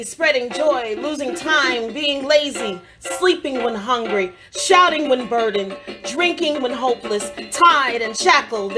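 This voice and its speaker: A woman reciting poetry in a solemn, dramatic style, with deliberate, measured phrasing and pauses between short phrases. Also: on the high side. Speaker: poetry reader. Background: African drum music.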